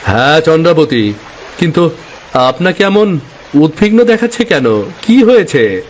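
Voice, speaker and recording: A man's voice: fairly high pitch, very animated, a little slow, a little hiss or hum. A man playing a humble young boatman in a cartoon fairytale, polite and earnest in his speech. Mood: concerned.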